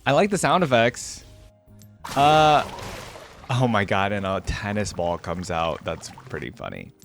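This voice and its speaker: A man's voice: on the low side, big pitch swings, a little slow, faint music behind. A young man hosting a casual reaction video, thinking aloud as he watches, with frequent exclamations and laughs.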